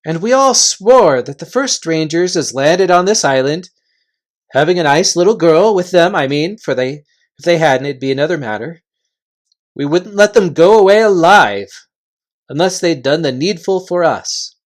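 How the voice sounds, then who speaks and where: A man's voice: fairly high pitch, lively intonation, normal speed, close to an everyday voice. Man putting on a folksy, blustering creature chief. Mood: matter-of-fact menace. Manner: rambling, homely rustic phrasing.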